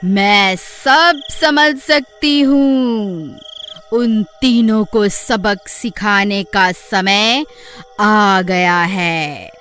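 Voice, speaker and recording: A woman voicing a vengeful bald witch in a cartoon horror story, with drawn-out, threatening delivery. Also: mid-range voice, a bit bright, faint music behind. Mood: grim resolve. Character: menacing bald female witch, vengeful yet able to relent.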